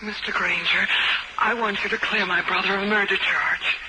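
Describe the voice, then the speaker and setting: A woman's voice: mid-range voice, fairly even intonation, normal speed, close to an everyday voice. A woman playing an earnest, pleading client in her mid-twenties in a 1950s radio drama. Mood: earnest, urgent. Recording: very noisy old 1950s radio recording.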